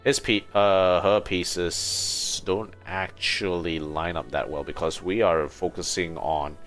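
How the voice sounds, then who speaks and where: A man's voice: deep voice, fairly even intonation, slow, fairly bright tone. A man livestreaming a video game with casual commentary, muttering to himself as he thinks aloud. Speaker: livestreamer, gamer. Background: game music.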